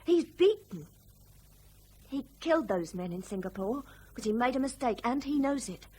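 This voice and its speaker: A high, swooping voice of a scornful wife in a radio play. She sounds trapped and bitter, with a husky edge and an emotional, dramatic delivery. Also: lively intonation, moderate pace, a little mellow. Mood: resentful, defiant.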